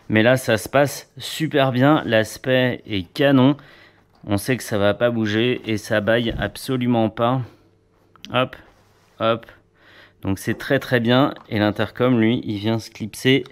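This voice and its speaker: A man giving a casual, chatty hands-on product review in an informal way. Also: medium pitch.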